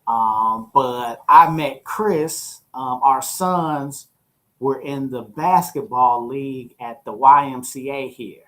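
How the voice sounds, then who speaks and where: A middle-aged American man hosting a podcast, chatting casually in a rambling, slangy, animated storytelling way. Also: mid-range voice, slow pace, poor audio. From St. Louis, USA. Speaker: podcast host. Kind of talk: casual chat.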